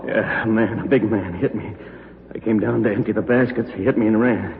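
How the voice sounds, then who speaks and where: An injured, dazed janitor in a 1950s radio drama. He gets out groggy phrases in quick bursts. The old recording is tinny with a long reverb. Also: lowish voice, steady tone, creaky. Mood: dazed, hurting.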